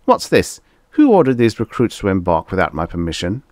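A man's voice: deep voice, wildly swooping pitch, fairly quick, darkish tone, no room sound, studio quality. A man voicing a pompous, easily panicked ambassador, barking and indignant in a science fiction audiobook. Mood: blustering. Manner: barking, indignant, self-important.

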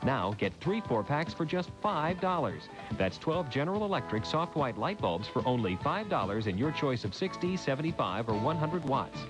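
A man's voice: medium pitch, sing-song, moderate pace, hardly any pauses. A man reading a scripted 1980s retail radio commercial as the announcer. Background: music.

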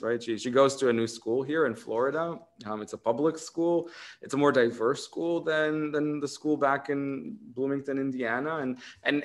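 A man in his thirties with an Israeli accent speaks over a video call from a room at home. His speech is reflective and academic, full of fillers, restarts and an ever-present "right?". The pitch sits in a middle range for a man. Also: a bit bright. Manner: thoughtful, many fillers and restarts, frequent "right?". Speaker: author in conversation.

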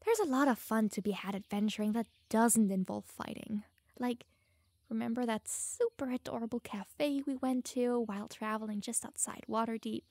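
A woman's voice: fairly high pitch, normal speed, a little mellow, no room sound. A young woman playing a teasing, smug, secretly lovestruck elf mage in an audio roleplay, playful and easily flustered. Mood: playful, defensive. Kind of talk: audio roleplay addressed to the listener.

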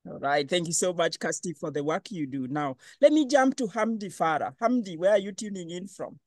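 A man hosting an online panel discussion, lively and questioning. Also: high voice, brisk, no room sound, clean studio sound. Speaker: webinar host.

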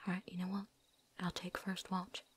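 A woman playing a tough, world-weary vampire hunter in a whispered ASMR roleplay, breathy, with a folksy put-on drawl. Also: lowish voice, completely flat delivery, moderate pace, a little hiss or hum, lightly acted. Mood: low, steady. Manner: folksy drawl with dropped endings, put-on accent.

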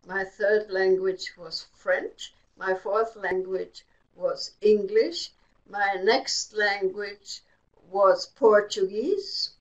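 An older woman whose first language is German tells her story slowly and plainly, with long unhurried phrases. Her voice sits at a middle pitch. The sound is dull and lo-fi, as if picked up by a webcam across a room. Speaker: Holocaust survivor guest. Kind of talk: slow personal recollection. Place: indoor exhibit room. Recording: dull lo-fi webinar audio.

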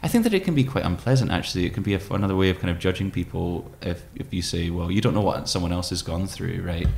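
A man in his thirties talking reflectively as an interview guest, hesitant and thoughtful, pausing often. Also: sits low, lively intonation, vocal fry, darkish tone. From Scottish Highlands. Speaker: guest, poet and musician. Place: room with tall windows, indoors. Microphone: microphone on desk stand.

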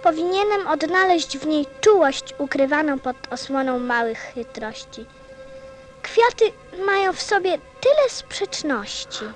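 A woman's voice: high-pitched, animated, unhurried, faint music behind. A woman playing a sensitive, wistful young boy prince, earnest and childlike, in a radio drama. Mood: regretful, wistful.

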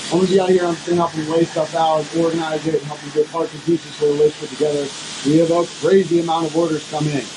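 An American man in his thirties chatting casually and upbeat as a vlog host in a large workshop, informal and talkative. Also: a little high. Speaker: shop vlog host, IT worker. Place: large metal-roofed workshop. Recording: noisy workshop with clear background noise.

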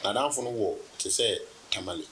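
A Ghanaian man in his thirties, a business guest in a relaxed sit-down interview, telling his story with laughs and anecdotes. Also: on the low side, background noise. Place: indoor room, seated on sofa.

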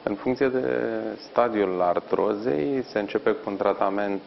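A middle-aged man, an orthopedic surgeon, answering questions in a live TV interview from a hospital ward, measured and explanatory with frequent pauses. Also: on the low side, creaky, lo-fi sound, slight background noise. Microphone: lapel mic.

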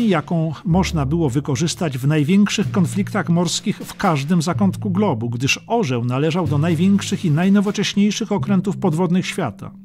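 A man reading a scripted historical audiobook as narrator, in a measured, dramatic storytelling manner. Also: on the high side, darkish tone. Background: music under the narration.

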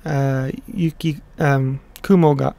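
A middle-aged man explaining his song translation in a casual, halting way, with many pauses and restarts. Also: mid-range voice, fairly even intonation, vocal fry, warm tone, dry recording. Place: small room indoors.

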